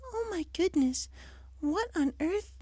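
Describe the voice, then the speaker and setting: A man voicing a gentle, timid young female pony in a soft, breathy falsetto for a story reading. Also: animated, slow, dry recording, exaggerated voice. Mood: puzzled, timid.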